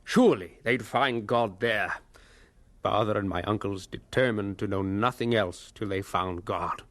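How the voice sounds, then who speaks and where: Earnest storytelling evangelist, dry sound. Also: male voice, lowish voice, measured pace, fairly bright tone, no echo. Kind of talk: scripted dramatic narration.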